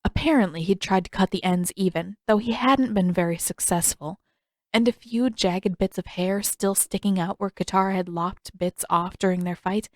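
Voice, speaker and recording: A woman narrates a story in a scripted audiobook style. Her pitch is mid-range for a woman, and the recording is dry with very little room sound. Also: moderate pace, no echo. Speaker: fan fiction narrator.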